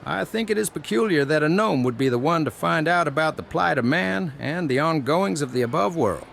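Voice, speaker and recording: A man voicing a plump old gnome elder with a creaky voice and quaint, deliberate, formal phrasing, in a fantasy audio story. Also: on the high side, lively intonation, fairly bright tone, slight background noise. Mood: quirky, earnest.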